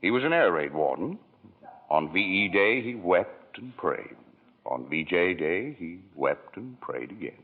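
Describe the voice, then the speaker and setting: A fatherly senior angel tells the story calmly, in a very deep, husky voice. His delivery is unhurried, in old radio style, and comes through a thin, tinny broadcast sound. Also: exaggerated voice. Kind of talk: 1940s radio acting.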